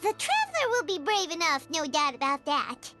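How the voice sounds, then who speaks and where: A woman voicing a tiny, childlike, excitable fairy companion in an anime game dub, exclamatory in manner. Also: very high voice, normal speed, faint music behind, exaggerated voice. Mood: eager. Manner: exclamatory, talks about self in third person.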